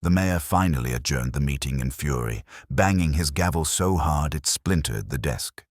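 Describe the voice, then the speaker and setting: A man's voice: extremely low pitch, animated, moderate pace, no room sound, studio quality. A man reading a mystery story as a scripted audiobook narration.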